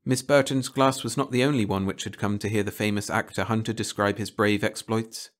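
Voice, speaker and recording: A man's voice: lowish voice, fairly even intonation, a little fast. A man reading a story aloud as an audiobook narrator.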